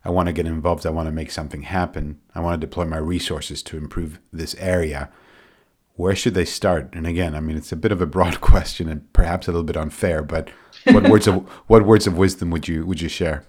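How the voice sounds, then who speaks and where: Male interviewer, deep voice, conversational, hedges and qualifies his questions. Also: fairly even intonation, fairly quick, a little mellow. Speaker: interview show host.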